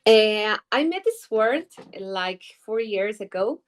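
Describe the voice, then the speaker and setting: Slow, halting young Ecuadorian woman. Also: mid-range voice, lively intonation. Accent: Ecuadorian Spanish. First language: Spanish. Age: 20s. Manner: halting, searching for English words with many fillers. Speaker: guest trader. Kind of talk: interview answers over video call.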